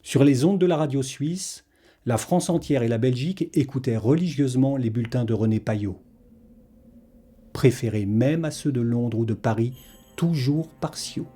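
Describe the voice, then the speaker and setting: A man's voice: mid-range voice, moderate pace, mellow, husky. A man reading a scripted historical documentary narration as its narrator.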